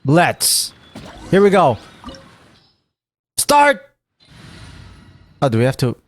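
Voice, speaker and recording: A man's voice: a little high, wildly swooping pitch, very slow. A man giving casual gameplay commentary as a streamer, thinking aloud and reading game text. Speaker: gaming streamer.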